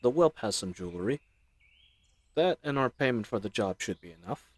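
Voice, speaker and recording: A man's voice: mid-range voice, lively intonation, moderate pace, no echo, close to an everyday voice. A man playing a chatty, roguish bandit leader in an audio roleplay, with folksy slang and teasing mock courtesy. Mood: brisk, wry. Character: roguish, chatty, friendly male bandit leader, mockingly polite. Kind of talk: scripted audio roleplay addressed to the listener.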